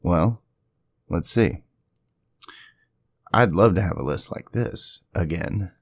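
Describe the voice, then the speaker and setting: Deep, gravelly man walking through software. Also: takes it slowly, dry recording. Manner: conversational, chatty asides. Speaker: tutorial video creator. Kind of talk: casual screen-share software tutorial. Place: indoors at a home PC, voice-over to screen capture.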